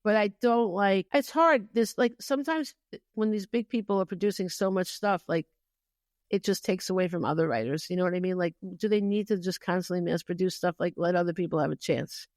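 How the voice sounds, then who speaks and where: A woman's voice: lowish voice, a little fast, a little mellow, no echo, studio quality. Outspoken woman podcast host chatting casually. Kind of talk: casual opinionated chat.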